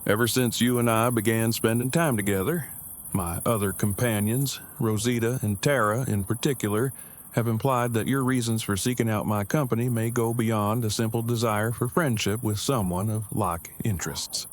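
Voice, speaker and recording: A man's voice: on the low side, steady tone, normal speed, natural voice. A man playing an awkward, earnest survivor in an audio drama, speaking in stiffly formal, long-winded, precise phrases. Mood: awkward, careful. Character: awkward, verbose, earnest male survivor and loyal friend. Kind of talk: fan audio drama acting.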